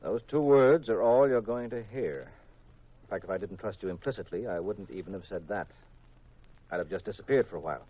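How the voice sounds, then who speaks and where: Low-voiced, composed radio detective. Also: male voice, fairly quick, breathy edge. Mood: firm, terse. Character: cool, self-assured married male private detective, narrating his case. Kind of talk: 1950s radio drama acting. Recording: dull vintage 1950s radio recording.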